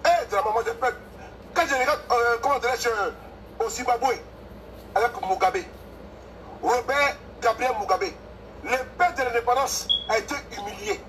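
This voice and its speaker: A man speaking as a guest on a live stream, hesitating and searching for words before making forceful political predictions. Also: extremely high pitch, expressive, moderate pace, a little hiss or hum, poor audio. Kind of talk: impassioned political talk.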